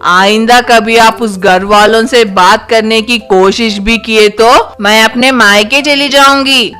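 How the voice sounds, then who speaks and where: Woman acting a slow, scolding young mother. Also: on the high side, fairly even intonation, bright, forceful tone, faint music behind. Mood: indignant. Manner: scolding, indignant. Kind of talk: Hindi animated comedy story acting.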